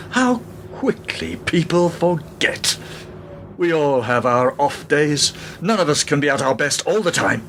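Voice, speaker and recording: A man's voice: fairly high pitch, a bit bright, slight background noise. An actor in a radio play, playing a defensive, money-troubled double agent and family man with an aggrieved, emphatic way of talking.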